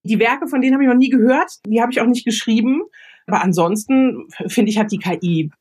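A woman's voice: on the high side, brisk, a little mellow, breathy edge, faint music behind. A woman talking conversationally as an interview guest. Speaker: guest author and screenwriter.

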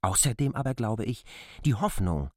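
A man's voice: medium pitch, sing-song, normal speed, warm tone, no echo, studio quality, natural voice. A man playing a shrewd, persistent newcomer in a literary radio drama, probing in formal, argumentative speech. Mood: insistent. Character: persistent, shrewd male newcomer questioning a landlady.

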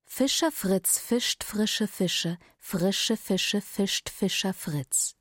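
An actress playing a chorus-like storyteller in a stage drama, reciting and commenting in a rhythmic, deliberate, precise way. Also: female voice, mid-range voice, no room sound, clean studio sound. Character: stylised female storyteller reciting tongue-twisters and comments.

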